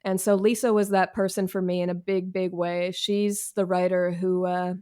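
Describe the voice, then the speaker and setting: A woman's voice: fairly low pitch, little pitch movement, moderate pace, dark tone, clean tone. A woman guest author giving informal, candid interview answers in a wry, self-deprecating way, speaking from home on a video call.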